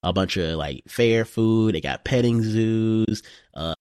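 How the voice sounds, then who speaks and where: A relaxed man chatting on a podcast, spinning casual stories and peppering them with slang. His voice sits fairly low, recorded close and dry with no room sound. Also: slow pace, clean tone, no echo. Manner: laid-back storytelling, lots of "bro" and "you know". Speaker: podcast co-host. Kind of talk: casual chat.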